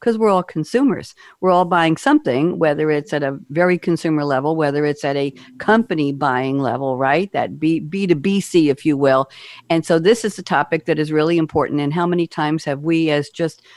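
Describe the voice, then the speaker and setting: A woman's voice: low voice, a little fast, a little mellow, no echo. A woman hosting a corporate podcast, chatty and upbeat, asking her listeners rhetorical questions. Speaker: podcast host.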